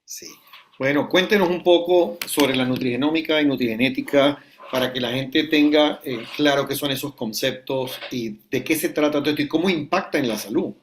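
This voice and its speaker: A man's voice: mid-range voice, normal speed, a little hiss or hum. A man hosting an interview in a conversational, informal way, speaking directly to guest and audience. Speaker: host.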